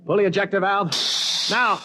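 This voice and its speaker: A man playing a brisk, urgent leader of captive space passengers in a 1950s radio drama. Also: sits high, fairly even intonation, close to an everyday voice. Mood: urgent, commanding. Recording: dull, noisy old radio recording.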